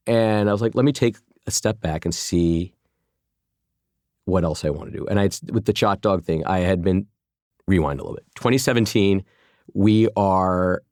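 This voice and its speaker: A man's voice: sits low, normal speed, a little mellow, dry recording, studio quality. A man in his forties from Chicago, a former journalist being interviewed, talking thoughtfully with frequent restarts and fillers. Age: 40s. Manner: thoughtful, many restarts and "you know" fillers. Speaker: guest, former journalist turned food entrepreneur. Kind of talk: casual interview conversation.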